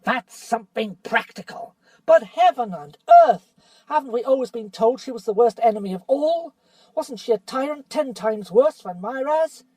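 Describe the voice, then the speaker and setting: A storybook reader voicing a bitter, quarrelsome male dwarf who argues hotly and shouts. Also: mid-range voice, normal speed, dry recording, far from the mic, voice pushed up, natural voice. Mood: defiant. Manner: heated, defiant, shouting.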